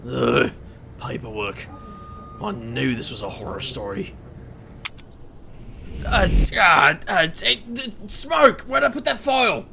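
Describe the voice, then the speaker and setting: An actor playing a scatterbrained, playful, good-natured boss in a fantasy audio drama, stammering and jokey, with a gravelly edge. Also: medium pitch, very animated, takes it slowly, long pauses, heavily acted. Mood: flustered. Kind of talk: audio drama character acting. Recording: faint noise.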